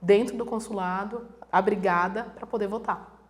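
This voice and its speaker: Brazilian woman explaining at an even pitch. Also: normal speed. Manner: explanatory, acts out examples in quoted voices. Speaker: guest, international relations professor. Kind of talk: conversational explaining.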